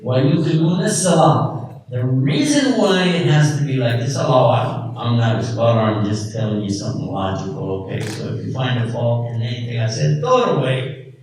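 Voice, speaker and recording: A man giving an animated religious talk to a live audience, often asking the crowd questions. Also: mid-range voice, normal speed. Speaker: speaker at an Islamic event. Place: large lecture hall with audience. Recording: echoey hall from far off, faint noise.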